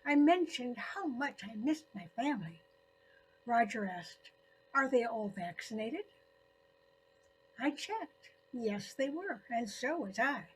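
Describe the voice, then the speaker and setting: An elderly woman narrating her own scripted personal story in deliberate phrases with frequent pauses. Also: on the high side, very animated, slight background noise, rough recording. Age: 70s or older.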